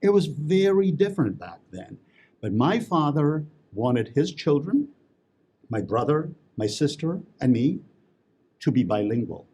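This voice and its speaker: A man's voice: fairly high pitch, measured pace, slightly rough. A middle-aged man from Italy who grew up speaking Italian and English, telling stories as an interview guest with many fillers and laughs. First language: bilingual Italian and English. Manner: expressive storyteller, lively, with frequent fillers and laughs. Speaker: interview guest, memoir writing teacher. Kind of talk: conversational interview storytelling. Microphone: local TV interview mic.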